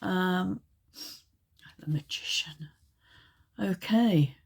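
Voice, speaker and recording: A woman doing a relaxed tarot reading, chatty and hesitant, pausing often with ums. Her voice is low and gravelly, with a husky, raspy edge from a lingering chest infection. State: croaky, recovering from a chest infection. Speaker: tarot reader. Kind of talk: casual tarot reading to camera.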